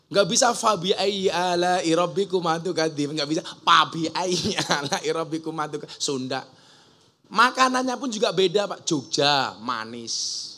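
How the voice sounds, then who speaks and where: A man's voice: fairly high pitch, sharp tone. A man preaching a humorous sermon to a gathering, joking and mimicking regional dialects. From Java. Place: outdoor religious school gathering.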